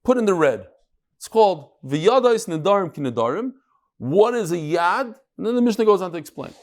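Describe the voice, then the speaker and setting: A man's voice: high-pitched, big pitch swings, fairly quick. A man giving an informal Talmud class, conversational and emphatic, mixing in Hebrew terms and rhetorical questions.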